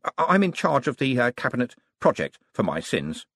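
A man's voice: mid-range voice, huge pitch swings, a little fast, a bit bright, no room sound, clean studio sound, voice pushed up, full character voice. A man voicing a friendly, flustered young wizard scholar in an audiobook, modest but bookish, hesitating and stammering with many ums.

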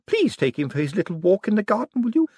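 A man voicing a feisty, blunt old woman who is gleeful and mildly cruel, with a high, bossy delivery. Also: sing-song, quick pace, darkish tone, breathy edge, dry recording, studio quality, full character voice. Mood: cheerful.

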